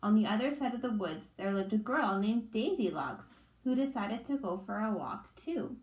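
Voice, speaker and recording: A woman's voice: mid-range voice, animated, normal speed, darkish tone, echoey room, low-quality recording. A woman reading a children's storybook aloud like a teacher, expressively and in simple phrasing for young listeners.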